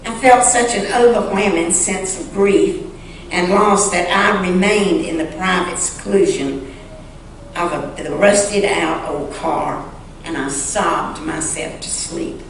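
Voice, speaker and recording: Slow-reading woman in an echoey church. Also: on the low side. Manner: slow, deliberate phrasing with pauses. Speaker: church member reading a short story aloud. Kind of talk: solemn dramatic story reading in church. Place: large church sanctuary. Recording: echoey church hall, distant mic, faint noise.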